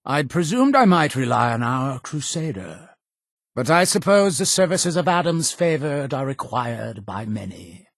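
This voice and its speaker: A zealous male cult leader for a video game. His delivery swings widely in pitch, grand and deliberate like preaching to the faithful. The voice is solemn and fanatical, recorded dry and close. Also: very animated, gravelly, dry recording, exaggerated voice. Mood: solemn, measured. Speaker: game character voice actor. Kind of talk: theatrical video game dialogue.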